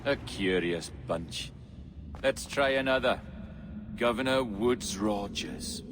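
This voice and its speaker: An actor playing a calm, cunning pirate captain with a measured, wry manner. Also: male voice, mid-range voice, noisy background, close to an everyday voice.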